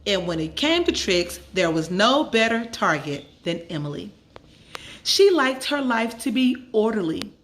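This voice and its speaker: A woman narrates a children's story in a medium-pitched voice. She reads as a storyteller, phrasing each sentence with care and leaning on key words for dramatic emphasis. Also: big pitch swings, unhurried, a bit bright. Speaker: storyteller reading a children's book aloud. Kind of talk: expressive scripted story narration.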